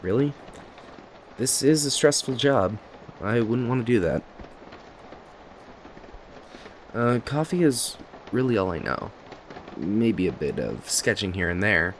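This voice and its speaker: A man's voice: fairly low pitch, a little slow. A man acting a talkative, kindly cafe owner in an ASMR roleplay, chatting to the listener in a friendly, hesitant, apologetic ramble. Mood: warm, reassuring. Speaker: voice actor in an audio roleplay. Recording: steady rain noise behind voice. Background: rain and cafe ambience.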